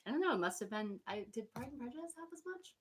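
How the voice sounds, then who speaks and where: A woman's voice: fairly high pitch, very animated, quick pace, breathy edge. A woman chatting casually with brief, informal comments alongside a reader. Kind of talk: casual chat, brief interjections.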